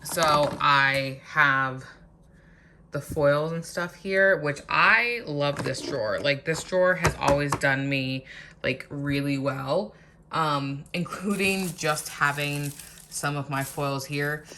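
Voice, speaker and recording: Low-pitched woman slowly chatting about her nail stuff at home, voice going a bit hoarse, noisy recording. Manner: rambling, chatty, many "like" fillers. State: losing her voice. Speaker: nail art vlogger. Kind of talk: casual show-and-tell vlog. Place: home guest room.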